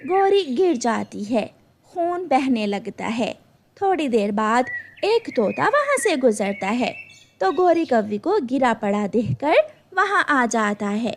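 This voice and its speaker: A woman's voice: fairly high pitch, very animated, slow, a little hiss or hum. A woman narrating a scripted children's cartoon story.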